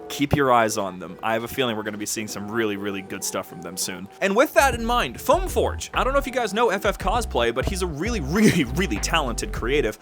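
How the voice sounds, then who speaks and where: A man's voice: mid-range voice, sing-song, a little fast, hardly any pauses. A man hosting a gaming community update in a casual, chatty, enthusiastic way. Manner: enthusiastic, informal. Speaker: host of a gaming community show. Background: background music.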